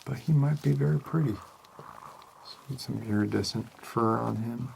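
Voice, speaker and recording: A man performing an ASMR roleplay as a world-weary homicide detective, rambling hesitantly in a deadpan manner. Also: medium pitch, normal speed, gravelly, slight background noise, poor audio. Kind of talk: slow ASMR roleplay monologue.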